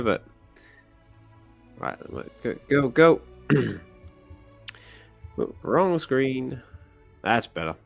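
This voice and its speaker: Slightly hoarse, chatty male streamer. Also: fairly low pitch. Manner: chatty, rambling with many fillers. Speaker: comic reading streamer. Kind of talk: casual chat.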